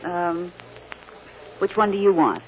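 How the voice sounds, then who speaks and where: An American actress playing a spirited, loyal and playfully stubborn legal secretary in an old-time radio drama. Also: female voice, fairly low pitch, animated, slow, slight background noise. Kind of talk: 1940s-50s American radio drama acting. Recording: muffled old radio recording.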